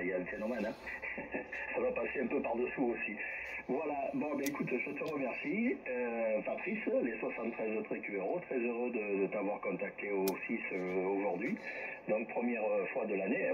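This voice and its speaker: Man on muffled, noisy ham radio. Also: lowish voice. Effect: shortwave radio transmission. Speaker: amateur radio net controller. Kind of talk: casual ham radio chat. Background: radio static and hiss.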